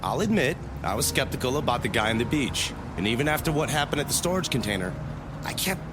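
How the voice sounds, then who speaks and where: A man's voice: mid-range voice, very animated, fast talker, over music, close to an everyday voice. A man playing a skeptical, worried friend in a scripted audio drama, questioning and incredulous.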